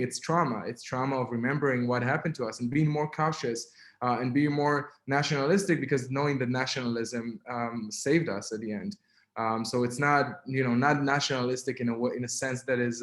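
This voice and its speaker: A young man with an Israeli accent speaks as a webinar guest, telling his story haltingly with many fillers and restarts. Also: mid-range voice, roomy sound. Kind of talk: personal testimony in a webinar.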